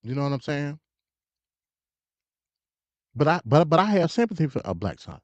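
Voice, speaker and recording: A man's voice: on the high side, very animated, normal speed, a little mellow, dry recording. An American man hosting a live stream with casual, opinionated political commentary, emphatic and slangy, repeating himself and stuttering. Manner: emphatic, repetitive, slangy, conversational. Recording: dull, lo-fi live stream audio.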